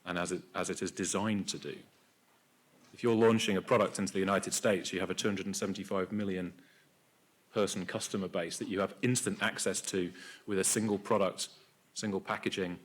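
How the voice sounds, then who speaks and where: Low-voiced businesslike man. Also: fairly even intonation, brisk. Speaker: conference speaker.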